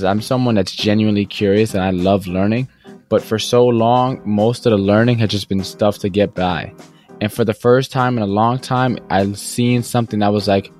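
A young man speaks in a fairly low register, earnest and fast-flowing. He leans on "you know" and "right?" as he tells his life story as an interview guest. A faint music bed plays underneath. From United States. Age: young adult. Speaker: guest entrepreneur.

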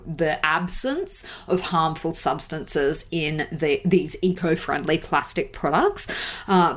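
Woman podcast host, lower-pitched voice, slightly congested, casual chatty delivery with lots of "uh". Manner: chatty, frequent "uh" fillers, light laughs. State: congested from a cold. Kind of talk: casual solo podcast chat.

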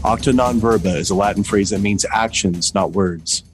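A man hosting an interview podcast, introducing his guest in an energetic, upbeat way. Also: fairly low pitch, normal speed, soft background music. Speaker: podcast host.

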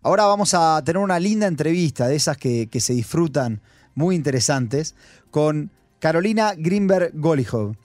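A man's voice: fairly high pitch, expressive, moderate pace, a little mellow, no echo. A man hosting a radio interview, introducing the guest and asking short follow-up questions. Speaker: radio host.

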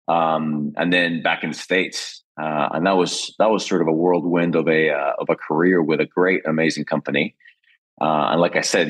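Deep-voiced man from Chile, relaxed and chatty. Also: husky. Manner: relaxed, with frequent fillers and restarts. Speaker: podcast guest, wine executive. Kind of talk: casual interview chat.

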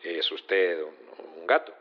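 An actor playing a formal, procedural judge presiding over a court in an audio drama. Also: male voice, sits low. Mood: puzzled. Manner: official, procedural, measured. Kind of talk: audio fiction acting. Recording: muffled courtroom radio feed.

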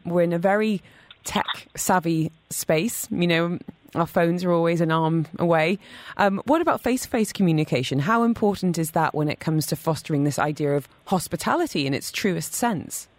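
Low-voiced woman hosting a food radio show, chatty and upbeat, dry studio sound. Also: darkish tone, no echo. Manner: enthusiastic, chatty interviewer. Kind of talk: radio interview.

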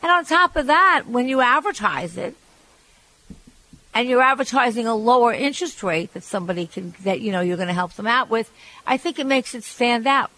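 A woman's voice: on the high side, lively intonation, moderate pace, sharp tone, no echo, rough recording. A woman hosting an advice radio show, talking frankly and chattily, often restarting her sentences. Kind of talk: advice call-in radio talk.

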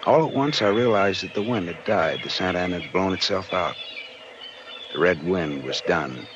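An actor playing a hard-boiled, wry but decent American private detective in a 1940s radio drama, terse and slangy. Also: male voice, sits low, animated, normal speed, background noise. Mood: wry, reflective. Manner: terse, wry, slangy tough-guy delivery. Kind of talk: 1940s radio noir acting and narration. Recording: dull vintage 1940s radio recording, organ-like music behind.

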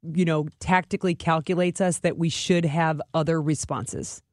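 A woman's voice: low voice, fairly even intonation, normal speed, darkish tone, dry recording. A woman co-hosts a sports radio show on a close, dry microphone. She talks soccer conversationally, in loose, informal phrases, as if working out her thoughts aloud.

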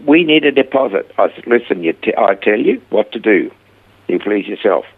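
Older Swiss man, rough, low voice, a guest acting out his own stories on radio. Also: moderate pace, phone-quality sound. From Switzerland. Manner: animated storyteller acting out quoted dialogue. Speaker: guest telling his life story. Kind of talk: casual storytelling interview.

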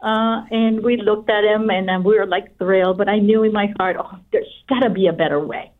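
A middle-aged woman from Guatemala talks as an interview guest in a conversational storytelling way. Her voice sits at an average pitch and comes through narrow and phone-like, a little away from the mic. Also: fairly even intonation, fairly quick. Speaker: interview guest, company founder. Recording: slightly distant, narrow phone-like sound.

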